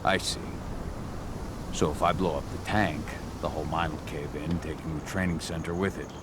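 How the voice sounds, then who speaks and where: A man playing a gruff, brooding werewolf warrior and determined father in a video game, terse and restrained. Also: extremely low pitch, steady tone, normal speed, heavy background noise. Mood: focused.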